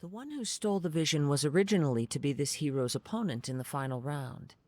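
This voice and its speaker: A man playing a serene, courteous young wandering samurai in an anime-style game, speaking calmly in formal, measured phrases. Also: on the high side, no echo, close to an everyday voice. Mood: matter-of-fact.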